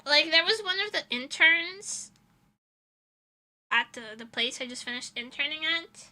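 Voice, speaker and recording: A woman's voice: sits high, expressive, unhurried, pushed and bright. A young woman streamer chatting casually and telling rambling, informal, giggly anecdotes to her viewers. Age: young adult. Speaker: VTuber streamer. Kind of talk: casual livestream chat and storytelling.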